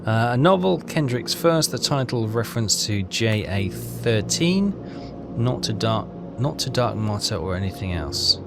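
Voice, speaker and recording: A man giving casual game walkthrough commentary, reading text aloud and haltingly thinking out loud. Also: on the low side, sing-song. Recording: clear music behind the voice. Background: game music.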